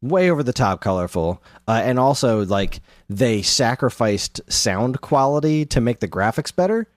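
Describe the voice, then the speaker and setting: A man's voice: medium pitch, very animated, normal speed, no echo. A man co-hosting a podcast, chatting casually about video games. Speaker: podcast host. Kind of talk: casual gaming podcast chat.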